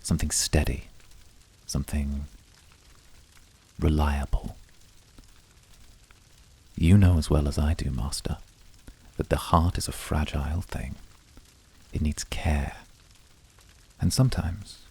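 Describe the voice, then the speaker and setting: A man playing a very deep, gravelly brooding incubus. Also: fairly even intonation, full character voice. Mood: calm, protective. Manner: measured, quietly seductive, intimate. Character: calm, brooding male incubus, subtle seducer. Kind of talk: scripted flirty ASMR roleplay.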